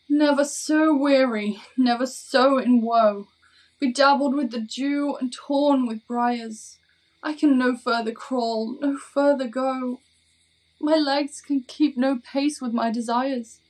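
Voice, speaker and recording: Woman playing a worn-out heartsick girl, high slow voice, plaintive old verse, audio play. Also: fairly even intonation. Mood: exhausted, woeful. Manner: plaintive, sighing. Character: exhausted, woeful young woman in love, bedraggled. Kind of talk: Shakespearean verse audio drama.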